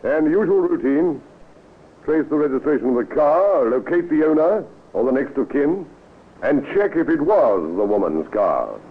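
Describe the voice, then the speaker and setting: A police superintendent in a vintage radio play. He is brisk and authoritative, musing in widely varied tones. The sound is narrow, with faint noise. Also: a little high, sing-song. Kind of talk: old-time radio acting. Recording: scratchy, tinny old-time radio recording.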